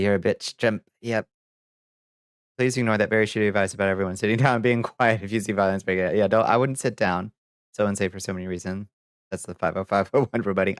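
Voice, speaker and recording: A man hosting a livestream, chatting casually with viewers and commenting on news in a halting, profane, muttering way. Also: on the low side, a bit bright, clean tone, no room sound, studio quality. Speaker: livestream host reading viewer chat.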